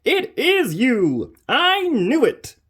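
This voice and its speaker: A woman's voice: medium pitch, wildly swooping pitch, slow, breathy edge, voice pushed up, full character voice. A woman doing a grumpy, tired train driver. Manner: shouty, exasperated.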